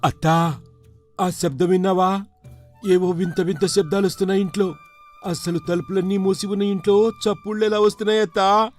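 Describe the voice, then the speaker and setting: Guy doing a jumpy village servant in a folk story, high voice, rustic exclaiming, faint music. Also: steady tone, normal speed, long pauses, lightly acted. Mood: nervous. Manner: exclamatory, colloquial rustic phrasing. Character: excitable, superstitious village manservant in a comic folk tale.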